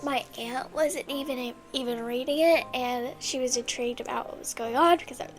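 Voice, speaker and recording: A woman's voice: sits high, steady tone, a little fast, soft background music. A young woman with a stuffy, congested voice, chatting casually and rambling about her favorite books. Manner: chatty and rambling, with many restarts. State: congested from a cold. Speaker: book vlogger. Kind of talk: casual chat.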